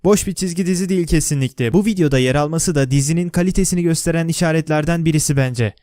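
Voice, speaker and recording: A man's voice: fairly high pitch, fast, dark tone, dry recording, clean studio sound. A man with a Turkish accent reading scripted explainer narration for a video.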